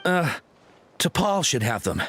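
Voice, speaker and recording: A man's voice: fairly high pitch, very animated, takes it slowly, a bit bright, husky, full character voice. An actor voicing a nervous, cowardly young henchman in a video game, hesitant, stammering and pleading. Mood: anxious, explaining. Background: game music.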